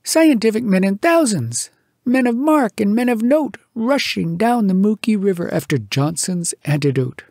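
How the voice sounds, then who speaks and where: Boastful bush settler, played by a male reader: high, animated, grandiose, comic verse storytelling. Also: moderate pace, no room sound, clean studio sound. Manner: exultant, grandiose declaiming.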